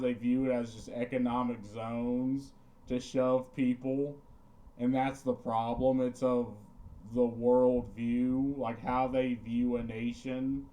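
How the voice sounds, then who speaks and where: A man's voice: medium pitch, warm tone, low-quality recording. Rambling man reacting to a video. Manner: rambling, halting, repeats himself. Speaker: streamer commenting on a video. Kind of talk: live reaction commentary.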